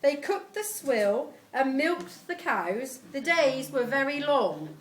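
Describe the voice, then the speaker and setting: A middle-aged woman reads a homemade rhyming tribute in a high, very lively voice. She takes her time over each line, addressing guests at a live event. There is clear background noise in the recording. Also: slow. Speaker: family member reading a tribute poem at a party. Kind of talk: reading a homemade rhyming poem aloud to guests.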